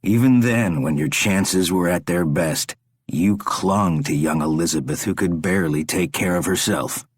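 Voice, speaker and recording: A man doing a soft-spoken menacing captor. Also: sits low, breathy edge, dry recording, studio quality, exaggerated voice. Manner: slow, soft, quietly menacing.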